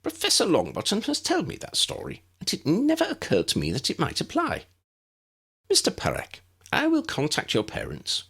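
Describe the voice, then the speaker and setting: A man voicing a kindly, excitable little old wizard professor with a genteel, precise, avuncular manner. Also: high-pitched, moderate pace, vocal fry, breathy edge, pitched above the performer's own voice, full character voice. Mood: delighted.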